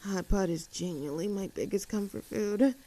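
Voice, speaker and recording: A woman's voice: medium pitch, moderate pace, mellow, close to an everyday voice. A woman voicing a cheerful, easygoing young tomboy friend in an intimate roleplay audio drama, talking in a casual, chatty and affectionate way. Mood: excited, chatty.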